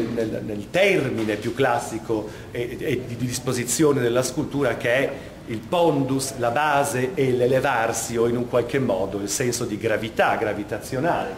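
Male curator, middle-aged. Emphatic guided-tour delivery in a reverberant, noisy gallery. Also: medium pitch. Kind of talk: guided art talk to visitors. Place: large vaulted museum gallery, indoors. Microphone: camera microphone a few steps away. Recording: echoey gallery hall, clear background noise.

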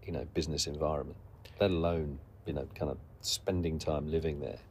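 A man hosting a podcast, asking measured, probing interview questions. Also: very deep voice, fairly even intonation, a little mellow, slight background noise.

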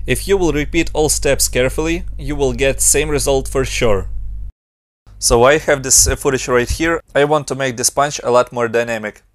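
A young adult man from Ukraine with a Ukrainian accent, giving brisk step-by-step narration for a software tutorial. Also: medium pitch, dry recording. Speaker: motion design tutorial host.

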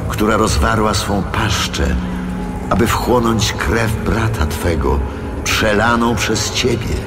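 God, deep, slow and reverberant. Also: male voice. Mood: stern, condemning. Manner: solemn, slow, weighty delivery. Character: God, stern commanding male deity passing judgement. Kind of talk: dramatized scripture audio drama. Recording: long reverb, loud music behind.

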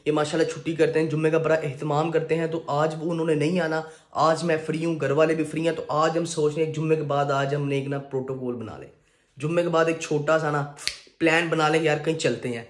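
Young man, fast-talking, high voice, joking vlogger, phone mic, noisy mall. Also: steady tone. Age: young adult. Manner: joking, boastful, chatty. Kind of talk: casual vlog chat to camera. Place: indoor shopping mall. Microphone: phone camera at arm's length.

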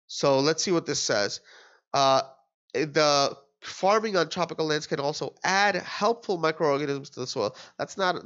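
A young man walks through a test question at his desk in a loose, thinking-out-loud way, restarting his sentences. His voice sits a bit high and sounds slightly nasal and stuffy from allergies. The recording is somewhat dull and lo-fi. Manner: casual, thinks aloud with restarts and fillers. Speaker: tutor. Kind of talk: screen-recorded exam walkthrough.

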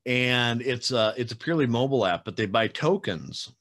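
A man's voice: mid-range voice, expressive, moderate pace, fairly bright tone. A man in his forties hosting a casual interview over a video call from a room at home, chatty and rambling with many fillers and some teasing. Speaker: podcast host. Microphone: webcam video call.

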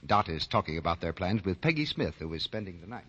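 A man's voice: low-pitched, sing-song, fast talker, bright, forceful tone. A man reading scripted announcements and commercials as a 1940s radio announcer. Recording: muffled, rough 1940 radio recording.

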